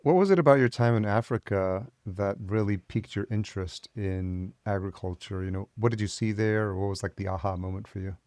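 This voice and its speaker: Male podcast host, low voice, upbeat chatty interviewer, dry close sound. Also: a little fast, hardly any pauses, darkish tone, no echo.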